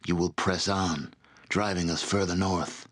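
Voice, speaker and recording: A barbarian chieftain with a very low, weighty voice. He is proud and embittered, delivering formal, deliberate speeches in the grave manner of a fantasy video game leader. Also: steady tone. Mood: bitter accusation. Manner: solemn, formal, deliberate oratory. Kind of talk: scripted fantasy game voice acting.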